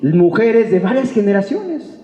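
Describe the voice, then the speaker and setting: A man's voice: high voice, very animated, measured pace, mellow. A man with a Mexican accent preaching an informal sermon as a pastor, in a colloquial, playful way full of rhetorical questions. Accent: Mexican Spanish. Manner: colloquial, playful, acts out imagined remarks and asks rhetorical questions. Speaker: pastor preaching to a church congregation. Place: reverberant church hall. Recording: echoey hall, long reverb, dull sound.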